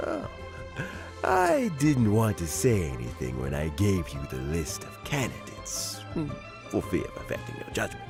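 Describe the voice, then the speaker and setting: An actor playing a kindly older man close to retirement, gentle and reminiscing, chuckling often. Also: sits low, huge pitch swings, measured pace, gravelly, music in the background, exaggerated voice. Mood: warm. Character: kindly old man near retirement, fond of fishing. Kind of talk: game voice acting.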